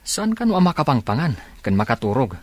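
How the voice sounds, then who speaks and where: A man's voice: medium pitch, big pitch swings, fairly quick, slightly rough, dry recording. A man with an Ilocano accent playing a loyal, supportive young friend in a Filipino radio drama. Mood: concerned.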